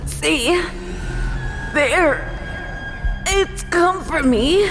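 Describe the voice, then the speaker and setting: In a long, hollow reverb, a terrified mad mother pleads. Her voice is extremely high and swooping, tremulous and drawn out, as in gothic audio drama. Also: lively intonation, very slow, exaggerated voice. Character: terrified, deluded mother pleading in frail anguish. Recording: reverberant hall, distant, music behind.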